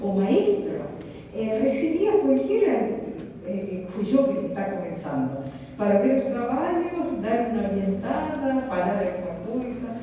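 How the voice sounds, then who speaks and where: An Argentine woman talks in a loose, rambling way, slipping Spanish words into her Portuguese. Her voice is dark and mellow. She is recorded from a distance in a hall with a long, roomy echo. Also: on the high side, big pitch swings, a little slow, a little hiss or hum. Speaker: guest artist. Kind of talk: conversational talk to an audience. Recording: echoey hall, mic far off.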